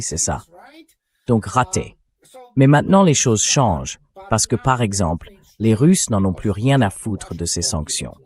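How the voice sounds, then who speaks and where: A man's voice: fairly low pitch, darkish tone. A man reading a translated voice-over of political and military commentary in a blunt, scornful, conversational way. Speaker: translated voice-over of an interview guest.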